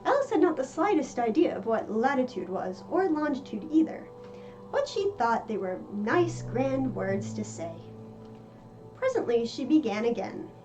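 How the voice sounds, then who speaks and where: A woman reading a children's story aloud in an expressive storytelling style. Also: a little high, normal speed, soft background music, rough recording. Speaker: storybook reader.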